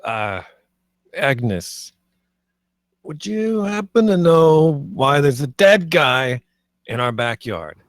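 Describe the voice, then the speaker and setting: Man playing a slow, deadpan young guy. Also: fairly high pitch, no room sound, studio quality. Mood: puzzled. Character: hesitant, reasonable 28-year-old man, deadpan roommate. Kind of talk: animated comedy script table read.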